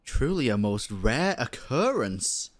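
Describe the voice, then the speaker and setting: A woman's voice: extremely low pitch, sing-song, slow. A woman game streamer giving casual live commentary, dropping short, wry reactions over the dialogue. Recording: game music behind the voice.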